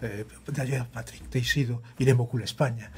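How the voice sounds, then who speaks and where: A man's voice: mid-range voice, fairly even intonation, moderate pace, a little mellow, breathy edge. A man speaking as a guest on a studio panel, hesitant, with many restarts.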